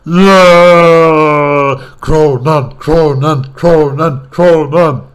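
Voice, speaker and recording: A man hosts a reaction, chiming in with short, excitable exclamations. His voice sits a little high for a man, and he stretches his words out very slowly. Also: clear, resonant tone. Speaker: reaction host. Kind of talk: spontaneous reaction commentary.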